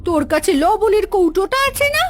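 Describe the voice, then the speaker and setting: A woman's voice: extremely high pitch, lively intonation, fairly quick, soft background music. A woman playing a jealous, scheming village housewife in a cartoon fairy tale.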